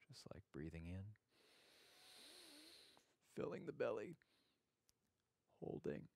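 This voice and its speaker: A man with a very low voice and plenty of vocal fry guides a meditation in a conversational way. His manner is gentle and reflective, with halting phrases and long pauses. The sound is dry, with hardly any room to it. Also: very animated, no echo.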